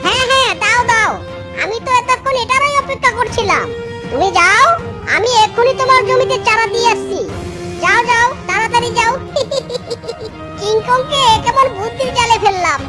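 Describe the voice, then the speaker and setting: Woman doing a squeaky, very high sly cartoon fox. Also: moderate pace, over loud music. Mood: scheming. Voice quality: childlike, squeaky. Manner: wheedling, boastful, exclamatory. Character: sly, gloating trickster fox, a young male swindler. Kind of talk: children's cartoon voice acting.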